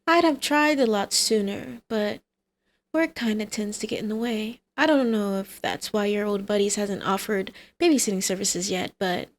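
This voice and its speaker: A woman's voice: mid-range voice, moderate pace, lightly acted. A woman playing a brazen, scheming supervillain mother in an ASMR roleplay, teasing, smug and coaxing. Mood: defiant, taunting.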